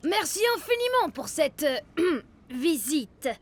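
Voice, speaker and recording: A woman's voice: high voice, sing-song, takes it slowly, music in the background, heavily acted. A woman voicing a polite, composed visiting student in a cartoon, speaking in a formal manner. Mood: courteous. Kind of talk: animated cartoon voice acting.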